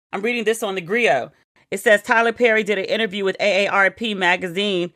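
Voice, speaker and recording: A woman's voice: medium pitch, a bit bright, no echo, studio quality. A woman hosting a podcast, chatting casually and playfully as she reads out quotes and news items. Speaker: podcast host. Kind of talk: casual chat.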